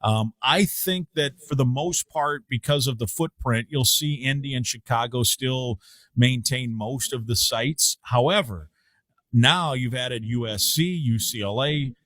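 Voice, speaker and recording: A man's voice: medium pitch, unhurried, fairly bright tone, dry recording. Thoughtful male sports broadcaster. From Iowa. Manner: thoughtful, many fillers and restarts. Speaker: radio play-by-play broadcaster, guest. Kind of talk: casual sports talk.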